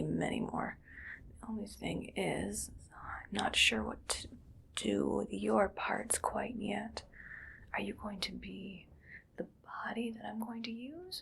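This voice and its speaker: A woman playing an eerily calm, gleefully morbid mad scientist in an ASMR roleplay, speaking in a hushed, breathy, musing whisper. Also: medium pitch, a little hiss or hum, full character voice. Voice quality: breathy whisper with soft creaky voicing. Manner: slow, hushed, musing to herself.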